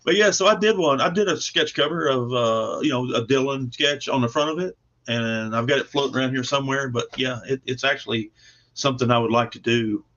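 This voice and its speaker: A man's voice: medium pitch, a little fast, a bit bright, muffled recording. A man speaking as a guest comic creator, chatting casually about his own project.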